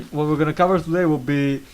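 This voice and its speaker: A man's voice: fairly high pitch, fairly even intonation, a little fast, darkish tone. Hesitant young man hosting a podcast. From England. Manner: hesitant, full of fillers and restarts. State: slight cough. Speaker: podcast host. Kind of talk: casual chat.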